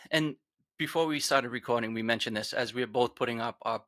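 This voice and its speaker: A man's voice: medium pitch, fairly quick, no echo, clean studio sound. A man in his forties with a Rhode Island accent, earnestly telling his personal story as a podcast guest. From Rhode Island, USA. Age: 40s. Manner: earnest, reflective, trails off quietly.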